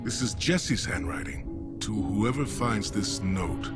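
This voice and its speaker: A devoted, anxious father in a sci-fi video game. His voice sits fairly low. Loud music swells behind his lines. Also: expressive, slow. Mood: solemn. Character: anxious, devoted father, a male civilian survivor. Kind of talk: dramatic video game cutscene acting.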